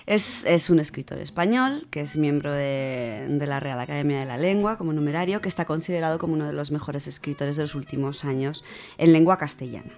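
A woman's voice: deep voice, brisk, a little mellow. A woman with an accent from Spain presenting a literary radio segment in a conversational, enthusiastic, semi-scripted way.

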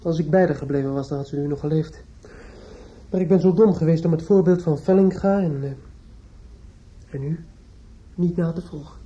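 A man's voice: on the high side, normal speed, long pauses, warm tone, natural voice. An actor in a radio play playing a bitter, grieving younger man, formally polite but pointed and reproachful. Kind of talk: Dutch radio play acting. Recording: dull old radio recording.

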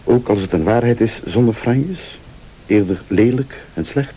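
An actor playing a mysterious, composed late-night visitor in a radio thriller, speaking formally and pointedly with quiet menace. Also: male voice, lowish voice, normal speed, slightly rough. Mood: grave, confessional. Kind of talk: Flemish radio thriller acting.

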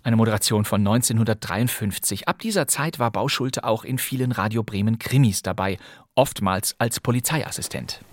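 A man hosting a radio-drama podcast, narrating a script in a lively, chatty way and speaking straight to the listener. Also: on the low side, moderate pace, no echo, studio quality. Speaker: podcast host. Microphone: close studio microphone.

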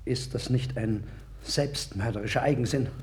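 A man's voice: mid-range voice, normal speed, darkish tone, close to an everyday voice. An actor playing a troubled, wavering king of ancient Judah in a formal 1960s radio play style. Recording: worn vinyl record with clear noise.

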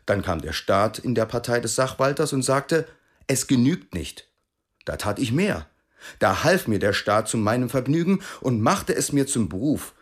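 An actor playing an earnest, proud East German racing cyclist in a radio drama, emphatic and self-justifying. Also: male voice, mid-range voice, very animated, normal speed, breathy edge. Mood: earnest, defensive.

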